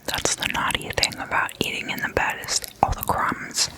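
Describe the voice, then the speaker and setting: An ASMR host speaking entirely in a whisper, explaining things casually in short, deliberate, hushed phrases.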